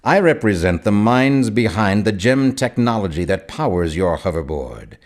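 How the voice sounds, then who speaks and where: Sinister mastermind villain in a video game, really deep, pompous and formal, swooping theatrical delivery. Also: male voice, big pitch swings, moderate pace. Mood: grand, intrigued. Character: grand, sinister male mastermind, coldly courteous and powerful. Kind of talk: video game character dialogue.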